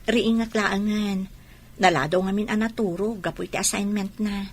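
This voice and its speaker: A woman's voice: mid-range voice, fairly even intonation, moderate pace, lightly acted. A woman acting a caring wife and mother in an Ilocano radio drama. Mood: calm, caring.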